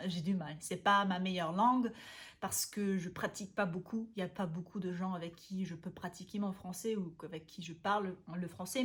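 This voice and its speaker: Young woman, from Austria, native Austrian German speaker, casual vlog talk, halting with fillers, laughs at herself. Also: mid-range voice. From Vienna, Austria. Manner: hesitant, halting, with frequent fillers and restarts; laughs often at herself and slips into English words. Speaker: language vlogger.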